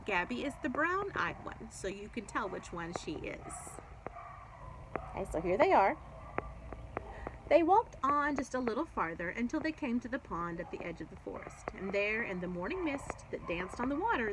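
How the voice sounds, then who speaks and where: A woman reading a children's book aloud, expressive and explanatory, as if speaking to young children. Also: a little high, noisy background. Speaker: read-aloud storyteller. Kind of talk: children's book read-aloud with chatty asides.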